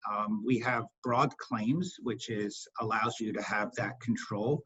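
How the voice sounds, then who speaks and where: Husky guy exec on an investor conference call, halting, repeats words for emphasis, muffled lo-fi audio. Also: lowish voice, steady tone, a bit bright. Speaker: company chief executive.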